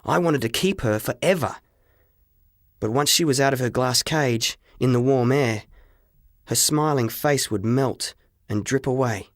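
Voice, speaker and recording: Man, audiobook narrator, mid-pitched, dramatic first-person storytelling, expressive, dry recording. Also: a little slow, no room sound.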